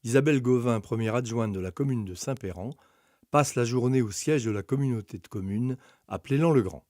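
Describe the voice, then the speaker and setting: A man reading scripted documentary narration in a measured, factual manner. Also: medium pitch, a little mellow, no room sound, clean studio sound.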